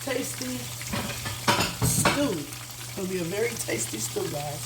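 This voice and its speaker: A woman hosting a casual home cooking vlog, chatty and repetitive, her voice slightly hoarse from a recent illness. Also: mid-range voice, animated, unhurried, very noisy. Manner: chatty, says "guys" constantly, repeats herself. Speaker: cooking channel host. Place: home kitchen. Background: food sizzling in a pan.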